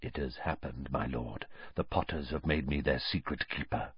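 A man voicing a scheming male traitor who speaks in a low, excited, hushed mutter. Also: moderate pace, breathy edge, no room sound, lo-fi sound, full character voice.

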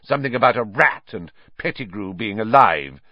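A man's voice: lowish voice, lively intonation, moderate pace, no room sound, muffled recording. Man acting a bitter, sneering schoolmaster. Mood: snarling contempt. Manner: spitting, snarling, hissing menace.

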